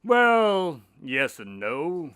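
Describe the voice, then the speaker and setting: An animated, high-pitched doctor sidekick. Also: male voice, very slow, clean tone, no room sound, studio quality, full character voice. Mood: friendly. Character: earnest doctor, loyal sidekick to a detective, recounting his cases. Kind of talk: audio drama dialogue and storytelling.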